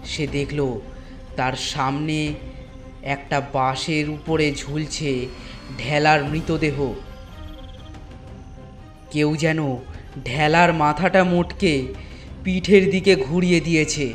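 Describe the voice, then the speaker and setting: A man narrating a scripted horror story in a deliberate way, with dramatic pauses between phrases. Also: a little high, fairly even intonation, faint music behind.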